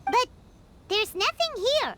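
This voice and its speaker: A tiny childlike fairy sidekick from a video game, extremely high and squeaky. She is excitable and easily spooked, exclaiming with swooping, lively intonation. Loud noise runs behind the voice. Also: slow, full character voice. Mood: curious. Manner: expressive, exclamatory, cutesy third-person talk. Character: small excitable childlike female fairy companion, easily scared. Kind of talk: video game character acting.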